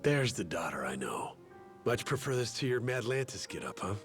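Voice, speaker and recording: An easygoing middle-aged father. He talks casually and plainly in a mid-range voice. Soft music runs underneath. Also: medium pitch, sing-song, moderate pace. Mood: warm, teasing. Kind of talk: video game cutscene acting.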